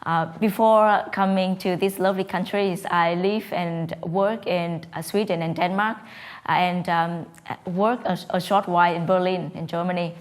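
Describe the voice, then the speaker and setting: A woman's voice: fairly low pitch, fairly even intonation, a little slow. A woman from Vietnam with a Vietnamese accent, a startup co-founder speaking on a panel, hesitant with many fillers and restarts. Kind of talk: prepared panel talk.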